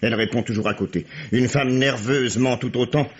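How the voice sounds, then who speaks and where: An actor playing a shrewd police commissioner in a French radio mystery, dry, wry and quietly commanding. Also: male voice, medium pitch, brisk, slightly rough, natural voice. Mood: wry, musing. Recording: dull vintage radio recording.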